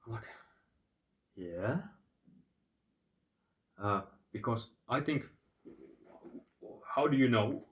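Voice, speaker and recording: Slow rambling Finnish-accented man, far from phone. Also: medium pitch, animated, dull audio. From Finland. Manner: digressive, halting, many fillers and restarts. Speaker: channel host. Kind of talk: rambling storytelling monologue.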